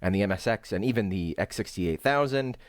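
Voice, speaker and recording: A man giving casual, rambling game commentary as a streamer, halting and hesitant, with dry, wry remarks. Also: fairly low pitch, lively intonation. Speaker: speedrunner and streamer, host. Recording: roomy echo, faint game music behind.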